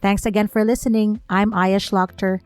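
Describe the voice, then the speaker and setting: A woman hosts a podcast in a measured, read-aloud manner. Her voice sits at an ordinary middle pitch, with soft music playing faintly behind her. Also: flat delivery, dry recording. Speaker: podcast host. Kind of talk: scripted intro and outro, interview questions.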